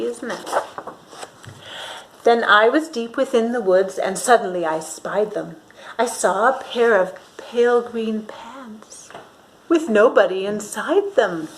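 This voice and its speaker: A woman reading a storybook aloud to young children in an expressive, dramatic way, pausing to ask her listeners questions. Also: on the high side, a little hiss or hum, low-quality recording.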